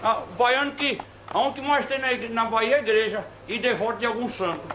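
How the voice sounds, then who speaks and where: A man's voice: very high-pitched, brisk, fairly bright tone. A Brazilian man playing a folksy, teasing male spirit guide from Bahia, speaking colloquially and repeating short phrases for emphasis in an informal spiritual dialogue. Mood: chatty, proud. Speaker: spirit medium answering questions. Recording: roomy, echoing, clearly noisy amateur recording.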